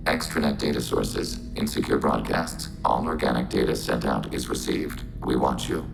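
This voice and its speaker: Gravelly, very deep robot. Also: male voice, normal speed, music in the background, heavily acted, poor audio. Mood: detached, factual. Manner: flat, clipped, literal statements. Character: collective-minded humanoid robot, calm, literal, emotionless. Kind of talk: scripted video game acting.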